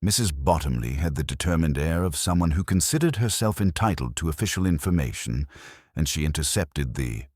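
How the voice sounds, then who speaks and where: A man narrates a scripted mystery in a measured, literary way. His voice is exceptionally deep, yet it moves with animated intonation. The sound is dry, with very little room around it. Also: no echo. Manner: measured, formal, literary delivery.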